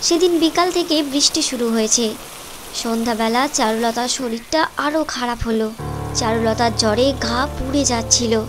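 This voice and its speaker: A woman's voice: on the high side, normal speed. A woman reading scripted storytelling narration for a moral cartoon. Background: music.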